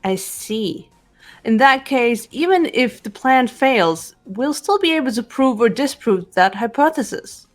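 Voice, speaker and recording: A woman voicing a cool, determined teenage detective girl in a visual novel game, measured and reserved. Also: on the high side, lively intonation, faint music behind. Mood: cool persuasion.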